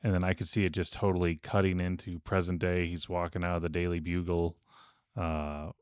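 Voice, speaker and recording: Low-voiced man hosting a casual podcast. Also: flat delivery, a little fast, darkish tone, clear, resonant tone, dry recording, studio quality. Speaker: podcast host leading a panel discussion. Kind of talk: casual chat.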